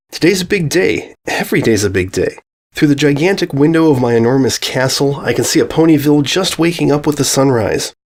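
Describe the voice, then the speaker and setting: A man reading a storybook tale as a young female pony, manic, cheerful and anxious, in an expressive, theatrical, self-questioning manner. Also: medium pitch. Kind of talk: first-person dramatic storybook narration of fan fiction.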